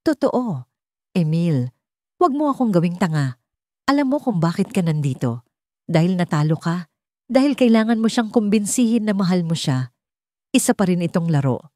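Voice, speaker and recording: A woman voicing a scornful, impatient young woman with a mocking, sharp-tongued manner in a romance story. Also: lowish voice, very animated, normal speed, long pauses, no echo, clean studio sound.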